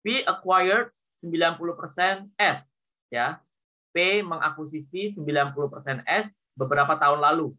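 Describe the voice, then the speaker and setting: A man's voice: fairly high pitch, normal speed, pushed and bright. A man with an Indonesian accent lecturing informally as a teacher, explaining worked problems step by step and often repeating points with small confirming tags. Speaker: accounting lecturer.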